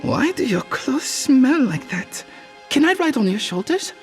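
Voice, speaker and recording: Lil village girl, super swoopy high voice, nosy and eager, fantasy comic w music. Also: sing-song, a little slow, full character voice. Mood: curious, eager. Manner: inquisitive, eager, hesitant. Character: chatty, curious little village girl. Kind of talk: character acting in a motion comic.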